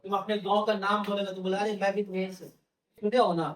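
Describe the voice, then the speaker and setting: A man's voice: high voice, flat delivery, fairly quick, fairly bright tone, far from the mic. A male English teacher, lecturing a class and repeating his key phrases as he keeps questioning the students. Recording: roomy classroom, voice from off-mic.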